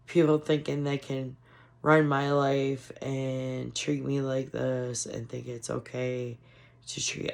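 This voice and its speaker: A woman giving casual, frustrated political commentary on her vlog, hoarse from losing her voice. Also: a bass voice, a little mellow. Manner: frustrated, ranting. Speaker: commentary vlogger.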